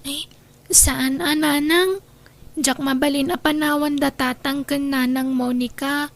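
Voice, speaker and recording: A woman with a Filipino Ilocano accent playing a gentle, devoted young daughter in a radio drama. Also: sits high, fairly even intonation, a little slow. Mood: concerned, gentle. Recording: noisy radio drama track.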